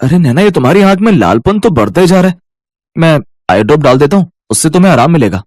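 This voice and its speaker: A woman voicing a caring young husband in an animated storybook, speaking gently and with concern. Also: sits low, quick pace, voice pushed down.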